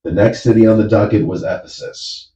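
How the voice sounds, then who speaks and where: A man reading a horror story in measured, even scripted narration. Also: fairly low pitch. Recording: dull, roomy, distant audio.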